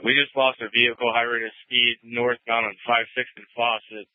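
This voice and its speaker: A man's voice: mid-range voice, flat delivery, normal speed, dry recording. A male police officer on patrol, giving terse reports over a police radio. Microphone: handheld or car police radio. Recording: tinny, narrow scanner radio transmission.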